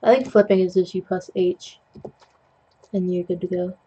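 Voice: medium pitch, fairly even intonation, moderate pace, warm tone, lo-fi sound, slight background noise. A young adult host rambling jokily with puns while painting at a desk, coughing now and then, on a webcam mic at home. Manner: chatty, self-deprecating, jokey with puns. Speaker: digital art tutorial host.

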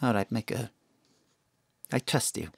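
A woman's voice: a bass voice, expressive, unhurried, gravelly, breathy edge, no echo, studio quality, exaggerated voice. An actress with a Welsh accent playing a doting, plain-spoken middle-aged mother in an audio drama, emphatic and colloquial. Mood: concerned.

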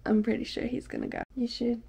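A woman's voice: fairly high pitch, huge pitch swings, fast, creaky, mellow, slightly rough. A woman, chatting casually as she vlogs. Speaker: vlogger. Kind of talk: casual chatty vlog talk. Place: living room, car park and outdoor cafe. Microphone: camera at arm's length. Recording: handheld camera with background noise.

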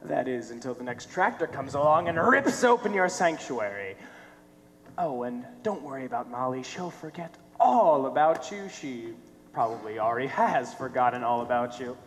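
A man's voice: mid-range voice, very animated, normal speed, lightly acted. A young man on stage playing a sardonic, theatrical tormentor who taunts cruelly. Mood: taunting. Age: young adult. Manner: theatrical, mocking. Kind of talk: high school stage play acting. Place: theater stage, filmed from the audience. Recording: echoey theater stage, noisy hum.

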